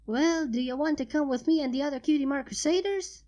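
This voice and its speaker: A woman's voice: sits high, brisk, dark tone, lo-fi sound, close to an everyday voice. A woman voicing a friendly young farm-girl pony with a folksy, clipped way of talking. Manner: folksy, dropped g's.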